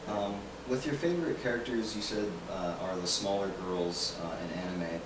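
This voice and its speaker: A man's voice: on the low side, lively intonation, normal speed, a crowd in the background. A man interviewing at a convention, asking rambling, hesitant questions. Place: convention room. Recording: echoey room, far mic, noisy.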